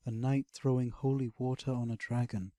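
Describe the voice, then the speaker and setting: A man narrating a scripted fantasy audiobook as a dramatic, expressive storyteller. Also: mid-range voice, normal speed, no room sound, clean studio sound.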